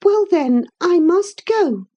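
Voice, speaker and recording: Young lady of a period novel, high voice, passionate, impulsive, tender-hearted; a woman narrator acting. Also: animated, takes it slowly, warm tone, muffled recording, heavily acted. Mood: doleful. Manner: impulsive, emotional.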